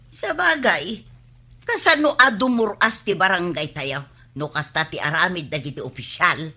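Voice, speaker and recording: A bright but raspy village gossip, played by a woman, skeptical and inquisitive. She questions and challenges in radio comedy drama style. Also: on the low side, animated, normal speed, exaggerated voice. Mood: incredulous.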